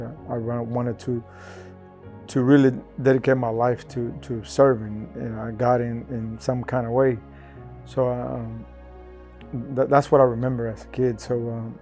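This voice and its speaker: A man tells his faith story in an earnest, halting way and often restarts his sentences. His voice is mellow and dark and stays level, with little rise and fall. Soft music plays faintly behind him. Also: medium pitch. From El Salvador. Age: 40s. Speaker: testimony speaker. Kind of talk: personal faith testimony.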